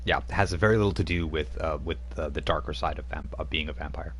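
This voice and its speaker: A man running a tabletop role-playing game as game master, narrating in a relaxed, halting way that slows down for drama. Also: a bass voice, slight background noise.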